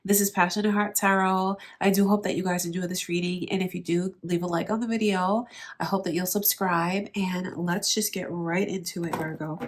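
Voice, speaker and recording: A woman's voice: fairly low pitch, steady tone, normal speed. Nasal-sounding woman reading tarot. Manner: speaks directly to the viewer, earnest and musing. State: nasal from allergies. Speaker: tarot reader. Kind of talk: tarot card reading for viewers.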